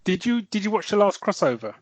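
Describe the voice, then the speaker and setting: Chatty podcast host, dry close mic. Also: male voice, high-pitched, sing-song, fast talker, no echo. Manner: chatty, laughs often, restarts phrases. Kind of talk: casual chat.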